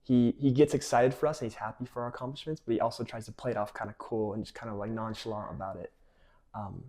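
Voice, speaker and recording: A man's voice: lowish voice, steady tone, quick pace, dark tone. A man of twenty-one with an American accent, telling stories casually in an interview as a college athlete. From California, United States. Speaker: college soccer player.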